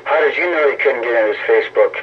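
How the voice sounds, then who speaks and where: A man's voice: medium pitch, racing. A middle-aged American man arguing over CB radio in a sarcastic, taunting, profane manner. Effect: CB radio transmission. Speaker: CB radio operator. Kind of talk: argumentative radio banter. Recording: tinny CB radio signal, faint static.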